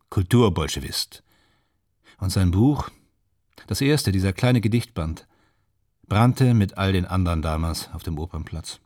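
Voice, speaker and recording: A man's voice: sits low, darkish tone, dry recording, clean studio sound, heavily acted. A man acting in a radio drama as a vain, wistful stage and film actor from 1930s Germany, musing theatrically in clipped fragments. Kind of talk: radio drama monologue and dialogue.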